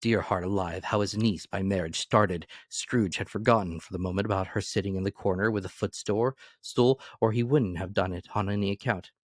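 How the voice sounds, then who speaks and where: Deep-voiced man reading dramatically. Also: brisk, few pauses, no room sound, clean studio sound. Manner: expressive, animated storytelling. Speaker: narrator. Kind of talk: dramatic reading of a classic novel.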